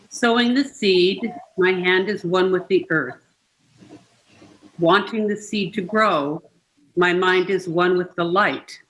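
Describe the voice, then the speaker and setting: A reader delivers poems aloud at a very slow pace, in a mid-pitched voice. Each short line is set apart and given time. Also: a bit bright, low-quality recording. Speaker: participant reading poetry aloud. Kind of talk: unhurried poetry reading.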